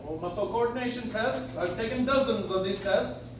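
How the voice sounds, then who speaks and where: A man's voice: high voice, close to an everyday voice. Man playing a kindly old Santa, far off and echoey. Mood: teasing, curious. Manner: polite, gently probing, unhurried. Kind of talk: 1940s radio acting, live on stage. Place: coffee shop stage, recorded from the audience. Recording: echoey distant audience recording, clear noise.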